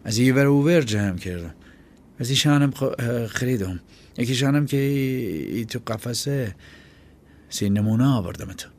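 Guy doing a nervous kid bluffing, stammery and squeaky. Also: lively intonation, a little mellow, lightly acted. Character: panicky young boy bird smuggler, bluffing.

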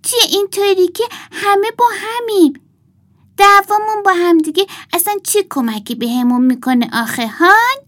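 Storybook girl doll: tiny high-pitched voice, naive, hopeful, gently hesitant. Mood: pleading. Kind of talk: children's storybook character.

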